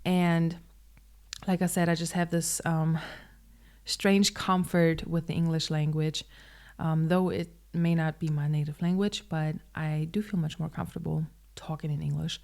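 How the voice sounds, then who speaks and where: A woman's voice: deep voice, little pitch movement, measured pace, creaky, darkish tone. A woman in her twenties, a native German speaker, talking earnestly to camera in a casual personal vlog with frequent ums. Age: 20s. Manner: hesitant, many ums, open and earnest. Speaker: vlogger.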